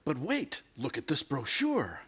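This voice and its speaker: A man's voice: mid-range voice, wildly swooping pitch, unhurried, a bit bright, breathy edge. A man presenting a scripted theater advert as acted dialogue.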